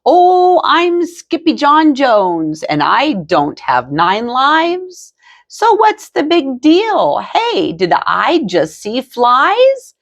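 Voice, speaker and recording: A woman's voice: high voice, huge pitch swings, extremely slow, pitched above the performer's own voice, full character voice. A woman playing a bouncy kitten boy. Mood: excited. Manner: bouncy, singsong, mock-Spanish flourishes. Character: playful boy kitten who thinks he's a chihuahua.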